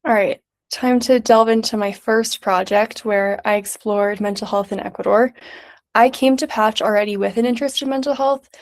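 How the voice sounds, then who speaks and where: A young woman from New York City presents her summer project at an even, mid-range pitch. Her voice carries a gravelly, fry-tinged edge as she moves methodically through prepared notes, clearly and in order. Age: young adult. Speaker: student intern presenter.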